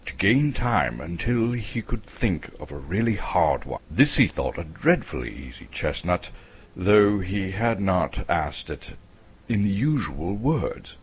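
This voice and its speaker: A man from Canada narrating a story as a theatrical, expressive dramatic reading. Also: low voice, slow, husky.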